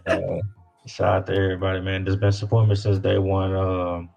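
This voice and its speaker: A man's voice: sits low, little pitch movement, moderate pace, gravelly, darkish tone, muffled recording. A man from Louisiana with a Southern US accent, talking casually and slangily as an interview guest, often repeating his phrases. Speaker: independent rapper guest.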